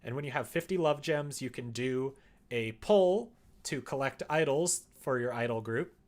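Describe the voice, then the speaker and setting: A man's voice: medium pitch, expressive, moderate pace. A man chatting casually on a livestream while he works, explaining things in a rambling way and sometimes muttering to himself. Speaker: streamer developing a visual novel.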